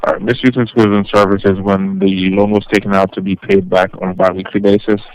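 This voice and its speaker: A man acting as a debt collection manager on the phone, explaining formally in a halting, wordy way. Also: low voice, little pitch movement, dull audio. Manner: halting, wordy, repeats himself. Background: music.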